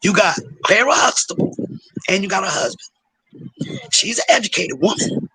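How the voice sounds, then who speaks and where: A hoarse man speaking as a panelist in a heated debate, preachy and often asking "you understand?". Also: high-pitched, animated, moderate pace, sharp tone, a little hiss or hum, rough recording.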